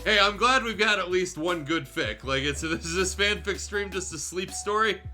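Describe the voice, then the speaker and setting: A man with a very bright, forceful and fairly high voice reads fan fiction aloud for a stream. His delivery is theatrical and irreverent, swinging widely in pitch. Music plays faintly behind him. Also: lively intonation, normal speed. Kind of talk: dramatic story reading with joking asides.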